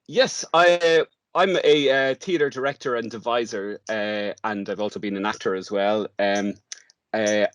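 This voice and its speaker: A man's voice: on the low side, fairly even intonation, a little slow, clean tone, no room sound. A man, a theatre director, explaining his work as an interview guest, hesitantly, thinking aloud in fragments.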